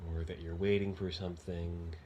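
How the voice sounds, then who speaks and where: A man leading an unscripted guided meditation in a gentle, halting, unhurried manner with long pauses. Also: low-pitched, little pitch movement, slight background noise.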